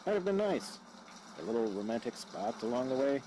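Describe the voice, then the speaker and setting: A man's voice: medium pitch, sing-song, fairly quick, dark tone, a little hiss or hum, natural voice, rough recording. A man playing a practical, wry young houseguest in an audio drama, with a dry conversational manner.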